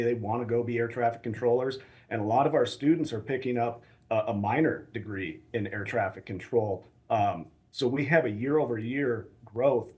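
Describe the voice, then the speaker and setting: An American man speaking as an academic expert in a news interview, explaining things in a measured, hesitant way. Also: mid-range voice, a little mellow. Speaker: assistant professor of air traffic management, expert guest. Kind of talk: live news interview answers.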